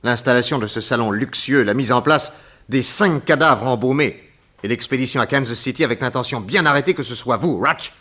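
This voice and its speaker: An actor playing a calm, shrewd middle-aged detective in a radio drama, speaking in a measured, grave and deliberate way. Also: male voice, mid-range voice. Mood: explaining. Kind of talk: 1940s radio acting.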